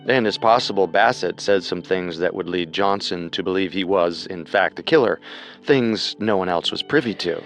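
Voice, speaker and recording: Male true-crime co-host, lowish pitch, serious and measured scripted read over faint music.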